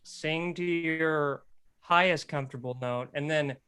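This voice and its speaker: A man with a high speaking voice, casually coaching others on singing in a group chat. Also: unhurried, clean tone. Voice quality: self-described high voice. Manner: animated, explains with sung examples. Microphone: close mic.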